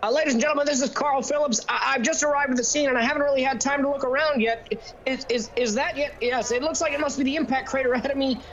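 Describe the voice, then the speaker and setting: Awestruck, halting on-scene correspondent, high voice, old radio spoof, slight background noise. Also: male voice, fairly even intonation, fairly bright tone, lo-fi sound. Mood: flustered, awed. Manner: stammering, halting. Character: flustered, awestruck male on-scene radio reporter. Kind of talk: 1930s radio play spoof acting.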